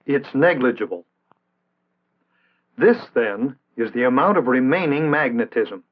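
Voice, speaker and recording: An American man reading scripted instructional narration in a measured, formal, didactic way, in the style of an early 1960s training film. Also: mid-range voice, expressive. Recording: tinny band-limited vintage 1960s film soundtrack.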